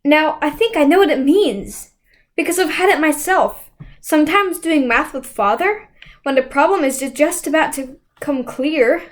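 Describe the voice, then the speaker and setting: Woman doing kids book dialogue, pretty high voice, really up and down. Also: animated, normal speed. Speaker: story reader. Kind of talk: character dialogue in a read-aloud.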